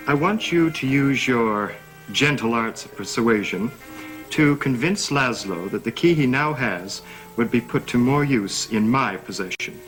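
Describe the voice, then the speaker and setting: An actor playing a scheming young stepson in a gothic soap opera, formal, measured and insinuating, with quiet menace. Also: male voice, medium pitch, animated, rough recording. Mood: coolly threatening. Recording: old TV soundtrack with dramatic music.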